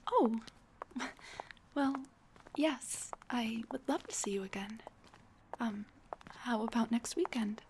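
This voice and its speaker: A woman acts out a sweet, bashful female dullahan in an intimate audio roleplay. Her voice is fairly high, soft and breathy, and she speaks very slowly, with flirty hesitations. A faint noise sits behind her. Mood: shy, hopeful.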